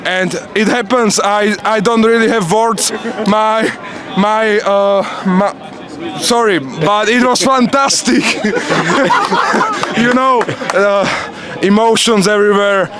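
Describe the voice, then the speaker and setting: Slow, high-voiced man, halting and giggly. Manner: halting, giggly, searching for words. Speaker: football fan being interviewed. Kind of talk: casual street interview. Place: outdoors outside a stadium. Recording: loud outdoor crowd noise.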